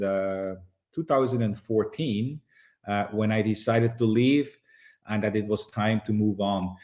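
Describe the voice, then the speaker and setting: A man's voice: fairly low pitch, a little mellow. Swiss-born man telling his story. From Switzerland. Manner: measured, frequent "uh" pauses. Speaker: guest, boxing promoter. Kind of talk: relaxed interview storytelling.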